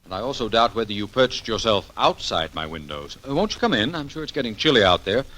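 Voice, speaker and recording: An actor playing a wry, exasperated American hero in 1940s radio style, with a flippant, indignant manner. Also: male voice, fairly low pitch, sing-song, quick pace, sharp tone, poor audio. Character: wry, exasperated American man mistaken for royalty.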